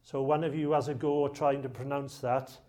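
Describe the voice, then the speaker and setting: A man's voice: mid-range voice, level tone, a little fast, a little mellow. Welsh guy giving a talk in a lecture room, jokey and chatty with the crowd. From South Wales. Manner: chatty and jokey, with audience banter. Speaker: archive lecturer. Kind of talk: public talk to a live audience.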